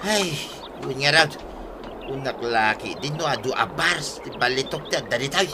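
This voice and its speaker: A man's voice: mid-range voice, lively intonation, moderate pace, noisy background, close to an everyday voice. A man with an Ilocano accent playing a sly, bossy village treasure hunter in a comedy drama, scheming and eager. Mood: excited. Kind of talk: Ilocano comedy radio-style drama acting.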